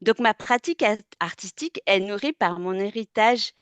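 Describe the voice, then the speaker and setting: A woman from France gives a webinar talk as a textile artist, at a middle pitch. She speaks thoughtfully, often pausing on fillers and restarting words. The sound is dry and close to the mic, with no room around it. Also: animated, fairly bright tone, smooth, no room sound. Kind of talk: webinar presentation.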